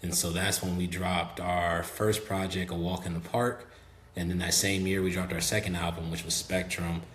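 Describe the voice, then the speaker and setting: A young man from Memphis with a Southern accent, talking relaxed and chatty as a guest on a podcast, with many "um"s and "you know"s. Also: deep voice, moderate pace. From Memphis, Tennessee. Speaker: guest rapper. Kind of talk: casual podcast interview. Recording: echoey room with faint hum.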